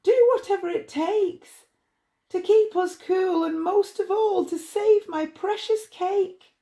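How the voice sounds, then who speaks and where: A woman's voice: very high-pitched, slow, mellow, pitched above the performer's own voice, heavily acted. A woman voicing an emotional, pleading young bride in a storybook. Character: bride, tearful, desperate, grateful young woman.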